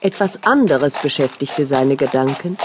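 A woman's voice: rumbling low, expressive, measured pace, noisy background. A woman reading scripted fairy-tale narration as the storyteller of an audio play.

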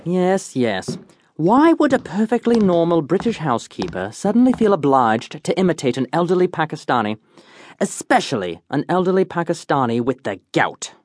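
An actor playing an eccentric, pompous detective, declaiming absurdly confident deductions in a theatrical comic radio-drama style. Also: male voice, a little high, big pitch swings, normal speed, darkish tone, slight background noise. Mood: pondering.

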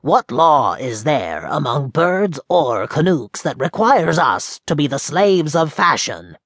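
A man doing a solemn, slow blackbird. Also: fairly high pitch, sing-song, a bit bright, husky, dry recording, clean studio sound, full character voice.

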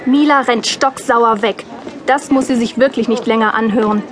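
A woman's voice: a little high, steady tone, moderate pace, noisy background. A woman narrating a radio play in a wry, lightly ironic tone. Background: music.

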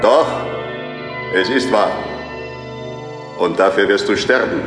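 A menacing ancient demon, speaks slowly from a cavernous reverb with music behind. His threats are pompous and taunting, in the grand manner of a horror audio play. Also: lowish voice. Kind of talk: German horror audio drama acting.

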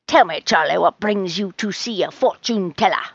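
A woman's voice: medium pitch, moderate pace, dry recording, lo-fi sound, close to an everyday voice. A woman playing an eccentric, brusque old woman who tells fortunes in a storybook, with theatrical, mysterious pronouncements. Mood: smug.

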